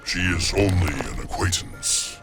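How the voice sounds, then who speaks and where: An actor voicing an ancient, hulking plant monster who guards nature, speaking in a deep, gravelly, grave and formal way. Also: male voice, expressive, unhurried. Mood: solemn. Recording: game music behind the voice.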